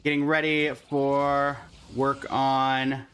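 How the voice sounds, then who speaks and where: A man's voice: mid-range voice, completely flat delivery, very slow, a bit bright, clear, resonant tone. A man livestreaming a game, chatting casually and in a friendly way with viewers while commenting on his play.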